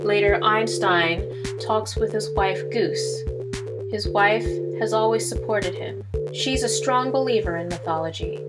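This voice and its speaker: A woman's voice: mid-range voice, unhurried. A woman announcer reading scripted narration for an audio drama. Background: loud music.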